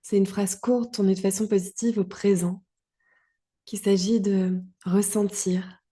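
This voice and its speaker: Calm, mellow woman guiding relaxation. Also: mid-range voice, husky. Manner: calm, soothing, with long pauses between short phrases. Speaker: yoga nidra guide. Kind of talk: guided relaxation meditation.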